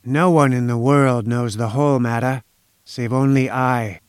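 A man's voice: mid-range voice, fairly even intonation, clear, resonant tone, dry recording, clean studio sound, natural voice. Man voicing an oily, velvety foreign schemer. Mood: boastful. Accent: slight foreign. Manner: slow, obsequious, deliberate. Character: oily, menacing, boastful foreign inventor-conspirator, a man.

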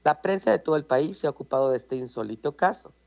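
A man's voice: a little high, animated, fast talker, gravelly, darkish tone, muffled recording. A Mexican man with a Mexican Spanish accent, chatting casually and reading out an old news story, explaining with asides and repeated words.

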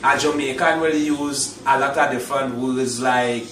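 A man's voice: mid-range voice, fairly even intonation, moderate pace, fairly bright tone, a little hiss or hum. Trinidadian man with an echoey, distant sound. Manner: repeats words, asks "you understand?" often. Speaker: interview guest, singer. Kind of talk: casual interview chat. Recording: echoey room, mic at a distance.

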